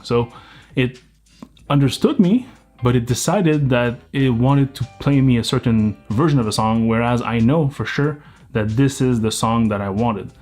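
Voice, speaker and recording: A man with a French Canadian accent talks through a gadget review in a relaxed, explanatory way. His pitch sits in an ordinary middle range, and he often trips and restarts mid-thought. Faint music plays under his voice. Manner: explanatory, thinking aloud with small restarts. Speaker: tech reviewer. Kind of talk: casual product review.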